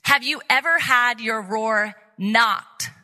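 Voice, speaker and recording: A woman's voice: on the high side, slow, pushed and bright. A woman conference speaker giving an earnest, exhorting faith talk, repeating phrases for emphasis. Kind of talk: motivational faith talk to an audience.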